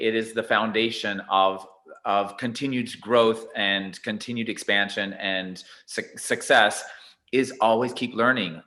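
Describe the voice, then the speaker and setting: A man hosting an online spirituality conference, effusive and halting with many fillers. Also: medium pitch, bright, forceful tone. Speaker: event host.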